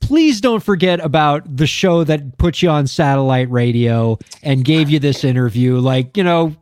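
A man's voice: on the high side, expressive, normal speed, darkish tone, smooth, no room sound, studio quality. A man hosting a radio interview show, enthusiastic and effusive with his guest. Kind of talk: casual interview chat.

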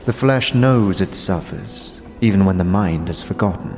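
A man's voice: low voice, normal speed, in a large hall, music in the background. Man voicing a grave, cryptic tattoo artist. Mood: solemn. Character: solemn, cryptic tattoo artist speaking of torment.

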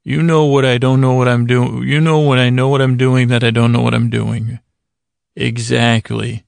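A man hosting a sleep podcast in a gentle, soothing way, with halting, fumbling, meandering monologue that keeps interrupting itself. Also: mid-range voice, level tone, gravelly, clear, resonant tone, dry recording. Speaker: podcast host. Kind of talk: rambling bedtime monologue to lull listeners to sleep.

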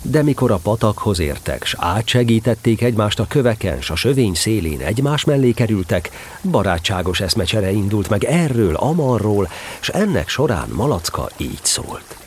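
A man narrating a storybook tale in an expressive, animated way. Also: on the low side, a little hiss or hum.

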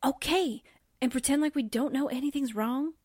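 A woman's voice: high-pitched, moderate pace, darkish tone, no echo, clean studio sound, close to an everyday voice. A woman playing a plucky but jittery newspaperwoman sidekick, slangy and wisecracking, in 1940s radio drama style. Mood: nervous bluster. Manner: wisecracking, blustering, folksy.

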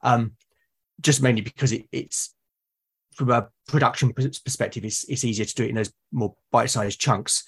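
A man's voice: medium pitch, fairly even intonation, normal speed, vocal fry, husky. A man in a conversational interview as a podcast guest, explaining his creative process and often repeating words as he thinks aloud. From Britain.

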